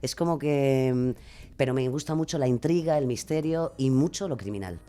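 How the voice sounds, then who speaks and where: A woman with a Castilian Spanish accent chatting casually as a podcaster guest in a radio interview, expressive, rambling and self-deprecating. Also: a bass voice, moderate pace. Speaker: guest, true-crime podcaster.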